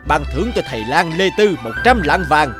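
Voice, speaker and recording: Magnanimous cartoon king: grand delivery, lively pitch swings. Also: brisk, music in the background. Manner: grand, declamatory. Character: stern but magnanimous grown king. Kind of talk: cartoon voice acting.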